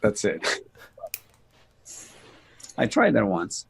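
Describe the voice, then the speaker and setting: Male guest, low and creaky, dry self-deprecating wit in relaxed online chat. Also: very slow. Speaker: guest on a live stream. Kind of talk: casual chat on a video call. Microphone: video call.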